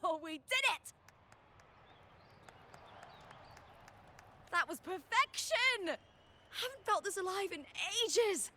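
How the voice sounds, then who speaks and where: A woman's voice: very high voice, animated, a little slow, pushed and bright, a little hiss or hum, heavily acted. A woman voicing a confident, spirited young gladiator and friendly battle partner in a video game. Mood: elated.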